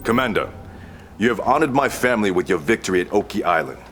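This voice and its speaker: A man's voice: fairly low pitch, animated, fast talker, fairly bright tone, faint music behind. A man playing a proud, vengeful Japanese imperial heir and samurai warlord in a video game, speaking in a solemn, formal, commanding way. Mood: fierce, commanding. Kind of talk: scripted game cutscene acting.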